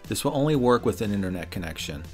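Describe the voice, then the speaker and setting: A man's voice: lowish voice, expressive, quick pace, darkish tone, faint music behind. Middle-aged man narrating a product review. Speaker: reviewer and host. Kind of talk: scripted product review voice-over.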